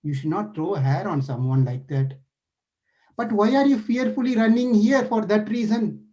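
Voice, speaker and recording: A man's voice: high-pitched, moderate pace, warm tone, dull audio, pitched above the performer's own voice, close to an everyday voice. A man voicing a playful, caring male deity who eagerly questions a frightened sage in a devotional story. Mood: curious, eager. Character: playful caring divine lord, male, curious.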